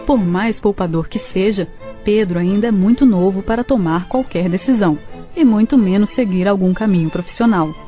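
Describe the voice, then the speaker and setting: A woman narrating a scripted radio drama in a measured storytelling manner. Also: medium pitch, over music.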